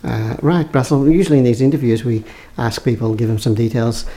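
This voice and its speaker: A man conducting an oral history interview, opening with the formal recording details and then asking measured questions. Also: mid-range voice, fairly even intonation, vocal fry, mellow. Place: home in Cremorne, Sydney.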